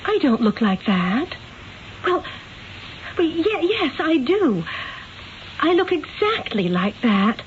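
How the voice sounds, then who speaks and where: An uneasy young woman of Renaissance Italy in a radio play. Her voice is high and slow, with hesitant phrasing. The old recording is thin and phone-like. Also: sing-song, slight background noise, exaggerated voice. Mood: uncertain, wondering. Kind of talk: radio drama acting.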